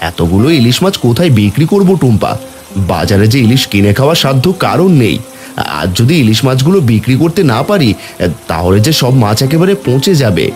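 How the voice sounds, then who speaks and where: An earnest village fisherman and father, played by a man, with a very animated mid-range voice over faint music. He is a humble cartoon seller. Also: mid-range voice, a little fast, close to an everyday voice. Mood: worried. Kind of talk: Bengali cartoon story voice acting.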